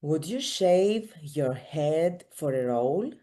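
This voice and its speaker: Very slow-speaking woman hosting an interview. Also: sits low, expressive, warm tone. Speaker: interview show host. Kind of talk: casual interview chat.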